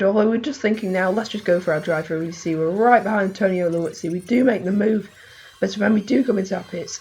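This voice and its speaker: A woman with a hoarse, fading voice giving rambling, excitable play-by-play of her racing game, full of fillers. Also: medium pitch, muffled recording. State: hoarse, losing voice. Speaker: gaming channel host.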